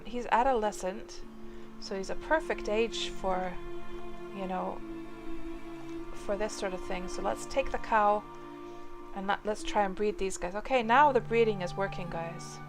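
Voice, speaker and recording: A woman talks casually to her live-stream viewers, her middle-pitched voice meandering through fillers and restarts as she answers the chat. Music plays clearly underneath her. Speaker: game livestreamer. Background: music playing under the voice.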